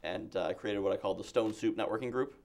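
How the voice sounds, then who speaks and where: A man's voice: lowish voice, fast, vocal fry, a little mellow. A man from Massachusetts, a guest in an interview, telling self-deprecating stories in a chatty way. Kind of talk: casual interview talk.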